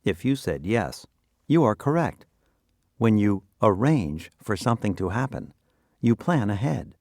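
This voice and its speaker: A man presenting scripted educational quiz questions and answers with deliberate, instructional phrasing. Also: on the low side, sing-song, darkish tone, dry recording, clean studio sound.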